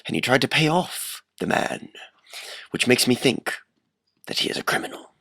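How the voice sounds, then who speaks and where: Radio play caller male actor: earnest accuser, hesitant, hushed, gravelly. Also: medium pitch, big pitch swings, moderate pace, no echo, heavily acted.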